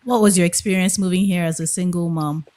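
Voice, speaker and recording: A woman's voice: lowish voice, steady tone, fast, mellow, a little hiss or hum. A woman hosting a panel discussion, asking questions and handing turns to guests. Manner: inquisitive, steering the conversation. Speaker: host.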